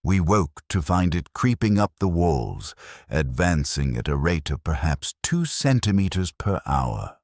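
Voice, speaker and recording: A man giving a scripted first-person dramatic story narration in a somber, measured, grave manner. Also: low-pitched, sing-song, no room sound, clean studio sound.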